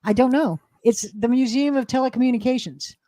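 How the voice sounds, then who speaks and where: A woman's voice: on the high side, brisk, warm tone, dry recording. A middle-aged woman, chatting casually on a live panel. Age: 50s or older.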